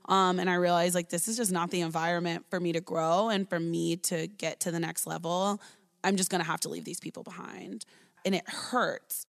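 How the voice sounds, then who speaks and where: Woman in her 30s, low-ish voice, earnest and chatty, keeps saying like and right. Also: steady tone, moderate pace, vocal fry. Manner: earnest, talky, full of "like" and "right?". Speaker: early childhood educator. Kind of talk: personal storytelling in an interview.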